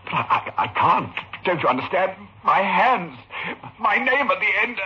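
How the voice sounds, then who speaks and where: An actor playing a tormented, obsessed surgeon in a melodramatic 1960s radio horror drama, brooding and halting. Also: male voice, sits high, sing-song, husky, heavily acted. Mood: distraught. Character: tormented surgeon obsessed with his own deadly hands. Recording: noisy, muffled old radio recording.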